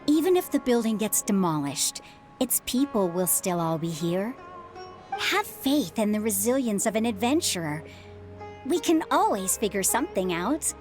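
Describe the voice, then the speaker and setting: Reassuring motherly ex-adventurer played by a woman, lively intonation. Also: medium pitch, normal speed, faint music behind, natural voice. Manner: calm, reassuring, philosophical. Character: reassuring middle-aged widowed mother, former adventurer. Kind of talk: video game voice acting.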